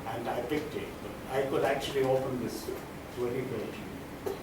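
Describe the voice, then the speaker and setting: A man presenting poetry informally to an audience in an emphatic, halting way. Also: mid-range voice. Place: large echoey room at a live event. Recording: echoey room, distant mic, loud noise.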